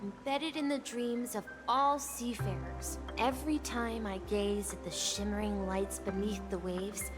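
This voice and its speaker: A woman voicing a gentle, wistful young boy in a video game, earnest and a little hesitant. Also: mid-range voice, fairly even intonation, unhurried, few pauses, natural voice. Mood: wistful, nostalgic. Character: gentle, wistful young boy recalling his seafaring grandpa. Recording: game music behind the voice.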